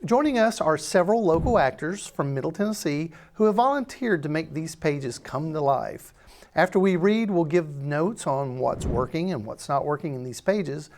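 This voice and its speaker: Low-voiced woman presenting. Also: darkish tone. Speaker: presenter of a screenplay table read. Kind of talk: scripted introduction.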